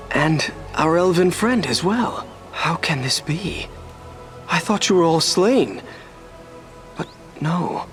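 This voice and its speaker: An actor playing a humble, earnest young ranger in a fantasy game, sincere and slightly formal. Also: male voice, a little high, a bit bright. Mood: dazed wonder. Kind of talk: dramatic fantasy video game acting.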